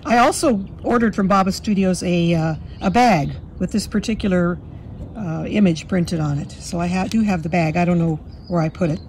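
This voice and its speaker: A woman with a slightly hoarse voice from a cold, chatting fondly through a walkthrough of a card deck at her table. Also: fairly low pitch, normal speed, darkish tone, slight background noise. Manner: fond, chatty, admiring. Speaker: tarot deck reviewer. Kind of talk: casual walkthrough. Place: indoors at a table.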